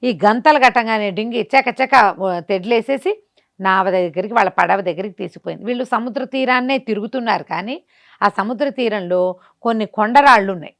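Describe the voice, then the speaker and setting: Female storyteller, mid-pitched, conversational and animated, tells folk tales with rhetorical questions. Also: fairly quick.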